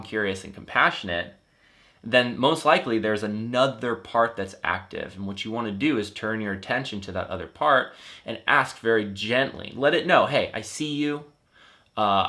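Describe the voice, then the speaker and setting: A man in his thirties, a life coach, gently and earnestly explaining a therapy method, with frequent fillers and restarts. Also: fairly low pitch. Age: 30s. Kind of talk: explanatory teaching talk.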